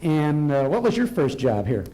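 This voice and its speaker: A man's voice: on the high side, big pitch swings, moderate pace, mellow, low-quality recording. A man hosting a live on-stage interview at an event in a large hotel ballroom, asking questions as a radio show host.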